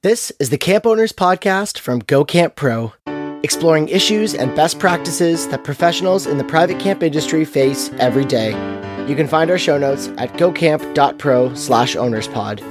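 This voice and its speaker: A man with a fairly high voice reads a scripted podcast intro and ad in a polished announcer style. Clear guitar music plays under him. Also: expressive, moderate pace. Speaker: show announcer.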